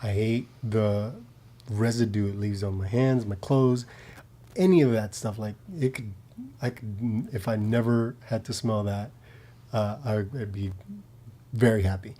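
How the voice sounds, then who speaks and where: A man's voice: on the low side, unhurried, dark tone. A man co-hosting a casual studio conversation, laid-back and reflective.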